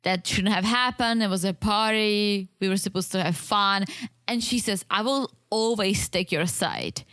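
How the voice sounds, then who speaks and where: A woman co-hosting a podcast about a reality show, chatting casually and acting out quotes in an animated way. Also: mid-range voice, unhurried, clean tone, dry recording. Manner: animated, acts out quotes from the show, frequent restarts. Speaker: podcast co-host.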